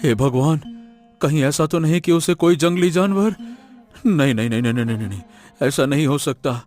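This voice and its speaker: Man acting anxious woodcutter husband, high male voice, lamenting, regretful, cartoon moral tale, music behind. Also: fairly quick, darkish tone, natural voice. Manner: lamenting, repeating words anxiously. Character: worried, regretful husband, a poor village woodcutter.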